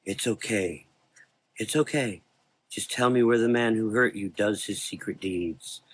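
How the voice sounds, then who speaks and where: Casting: male actor as slow, low-pitched, solemn supernatural reaper for gothic horror audio, velvety and coaxing. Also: breathy edge. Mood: soothing, coaxing. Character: the dark man, grave velvety supernatural soul reaper.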